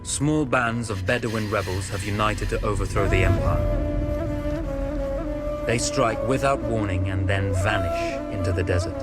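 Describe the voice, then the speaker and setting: A man narrating a game's war story in a grave, measured storyteller's manner. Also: fairly low pitch, natural voice. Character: solemn male storyteller introducing a wartime desert tale. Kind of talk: scripted documentary-like narration. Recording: loud music under the voice. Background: loud music.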